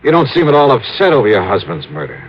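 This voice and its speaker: An actor playing a cool, probing, terse investigator in an old radio detective drama. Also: male voice, mid-range voice, very animated, fast talker. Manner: terse, questioning. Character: cool, probing male insurance investigator, skeptical. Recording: muffled old radio recording.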